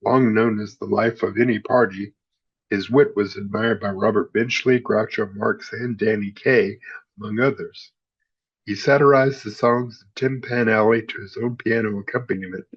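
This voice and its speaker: A man's voice: fairly low pitch, steady tone, normal speed, husky, muffled recording. A man narrating in a scripted style, reading encyclopedia-like history as a host.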